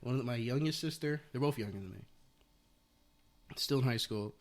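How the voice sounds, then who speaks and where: A young man in his twenties hosts a relaxed podcast conversation. His voice sits at a middle pitch, and he rambles playfully, often speeding up in quick bursts. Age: 20s. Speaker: podcast host. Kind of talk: casual interview chat.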